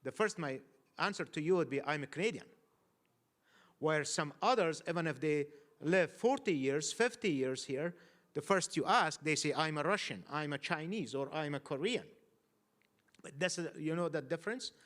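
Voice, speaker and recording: A man's voice: a little high, expressive, moderate pace, a bit bright. A man from Afghanistan speaking as a panelist, thoughtful and hesitant, often restarting his sentences. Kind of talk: panel discussion.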